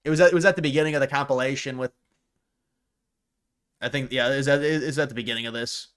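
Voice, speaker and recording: Man, live stream host, casual commentary, halting, restarts sentences, repeats words. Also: medium pitch, fairly even intonation, fairly bright tone, smooth.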